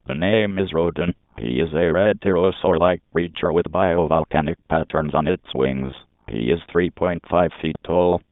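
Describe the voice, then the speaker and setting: A man's voice: low-pitched, no room sound. A synthetic-sounding man reading a scripted emergency alert as an announcer, with a robotic delivery. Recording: tinny phone-like narrowband sound.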